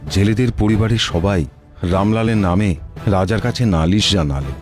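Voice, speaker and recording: A man narrating a folk-tale cartoon in a deliberate, expressive storytelling manner. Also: lowish voice. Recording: music behind the voice. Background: background music.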